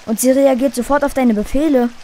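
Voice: high voice, steady tone, fairly quick, dark tone, lightly acted. A performer playing a friendly, curious boy in a children's radio play. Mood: eager. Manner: curious, questioning.

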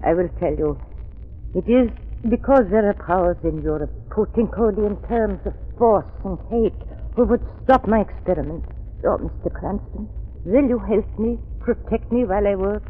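A woman's voice: mid-range voice, normal speed, lightly acted. An actress playing a solemn, compassionate European woman doctor in a 1930s radio drama. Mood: earnest, pleading. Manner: solemn, pleading. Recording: tinny, hissy 1930s radio recording.

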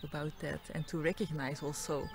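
Steady woman, flat pitch, crowd behind. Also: brisk, faint music behind. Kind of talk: festival interview.

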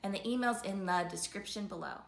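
A woman's voice: medium pitch, vocal fry. A woman in her thirties telling a story to young children in a sing-song, rhythmic way, drawing out her words. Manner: slow, sing-song, repetitive rhythmic phrasing with drawn-out words. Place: living room, indoors. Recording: roomy indoor echo.

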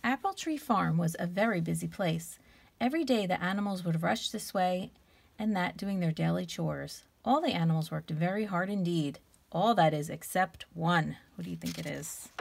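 A woman reading a children's storybook aloud like a teacher, expressively, stretching key words and pausing to ask questions. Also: lowish voice, gravelly, husky.

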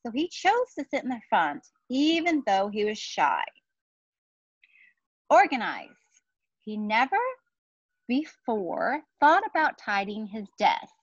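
A woman in her forties reading a picture book aloud to children, deliberate and explanatory, with casual asides. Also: fairly high pitch, fairly bright tone, no echo, highs cut off. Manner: deliberate, explanatory, speaking to young listeners. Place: outdoor patio beside trees.

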